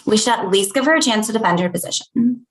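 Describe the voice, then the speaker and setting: A woman's voice: medium pitch, quick pace, close to an everyday voice. Judgmental, dry young woman played by an actress, roomy recording, comedy table read. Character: judgmental, dry young Ivy League friend. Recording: roomy, echoey sound.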